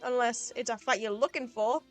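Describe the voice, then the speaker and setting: A woman voicing a brash female fish warrior who yells and trades cocky smack talk. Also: on the high side, steady tone, moderate pace, faint music behind, close to an everyday voice. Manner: yelling, cocky trash talk.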